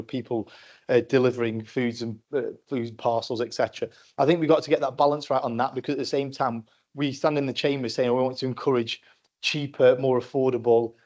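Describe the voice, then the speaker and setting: A man's voice: mid-range voice, a little mellow, dull audio. A man speaking as a councillor at a council meeting, answering a question with many fillers and restarts. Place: council chamber.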